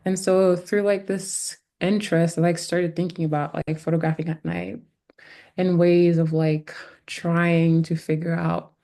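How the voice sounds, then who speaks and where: A woman's voice: lowish voice, flat delivery, measured pace, mellow. A woman photographer from Haiti talking casually about their practice, thinking aloud in an exploratory way. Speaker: photographer, panel guest. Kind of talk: conversational artist talk.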